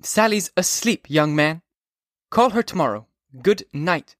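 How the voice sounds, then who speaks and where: A man's voice: sits high, animated, unhurried, no room sound, studio quality, voice pushed up. A man reading aloud, voicing a stern elderly grandmother woken at night who speaks in cold, clipped phrases.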